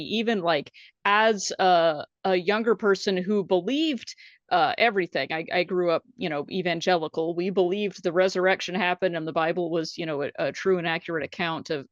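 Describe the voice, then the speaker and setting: Female podcast host, earnest and casual, mid pitch, muffled dry line. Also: no room sound. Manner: earnest, uses fillers like "you know". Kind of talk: casual interview questions.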